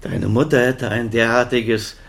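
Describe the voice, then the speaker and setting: A stern older male chief physician in a radio crime drama. He has a medium-pitched voice and talks curtly, with cold, reproachful authority. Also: steady tone, unhurried, gravelly, a bit bright.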